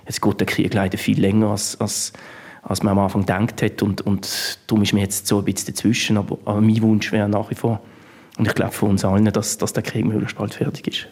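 A man giving halting, unscripted interview answers as a politician, often repeating words. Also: fairly low pitch, level tone, a little mellow, husky.

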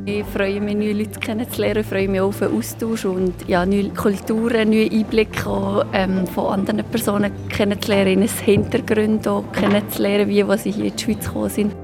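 Female event participant, medium pitch with little variation, answering off the cuff. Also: little pitch movement, a little fast, few pauses, over music. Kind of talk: spontaneous interview answer.

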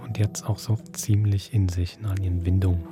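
A man hosting a podcast, speaking in a breathy, dramatic way as he introduces spooky episodes. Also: low-pitched, flat delivery, fairly quick. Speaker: podcast host. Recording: music behind the voice.

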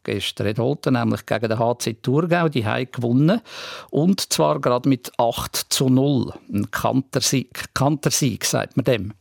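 Swiss German male newsreader, low creaky voice. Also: moderate pace, a little mellow, dry recording, studio quality. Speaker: regional radio news presenter. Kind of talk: scripted news reading.

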